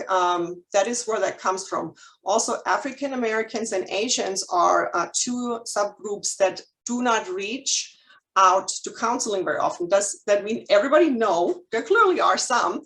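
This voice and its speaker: A woman's voice: mid-range voice, fairly bright tone, low-quality recording. A German-accented woman in her forties, a licensed counselor giving a candid presentation to a live audience, with many ums and restarts. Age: 40s. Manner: candid, frequent ums and restarts, nervous humor. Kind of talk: chamber of commerce presentation.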